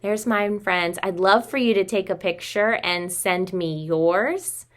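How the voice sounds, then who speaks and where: A woman's voice: mid-range voice, slow. A woman in her thirties, a preschool teacher, talking simply to young children through a craft demonstration at home. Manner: simple, child-directed talk, addressing listeners as friends. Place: small room at home.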